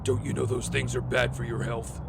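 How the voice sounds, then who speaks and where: Guy doing a hooded vigilante, super gravelly n stern, terse, audio drama with city noise behind. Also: mid-range voice, flat delivery, normal speed, natural voice. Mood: cool. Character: grim, self-assured hooded male vigilante.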